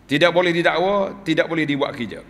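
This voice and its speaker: Middle-aged Malaysian imam, slightly raised pitch, declaiming a Friday sermon into pulpit mics, long hall reverb. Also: male voice, a bit bright. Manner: declamatory, slows to stress key words. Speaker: Friday preacher. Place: mosque pulpit, indoors. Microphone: two pulpit microphones on stands.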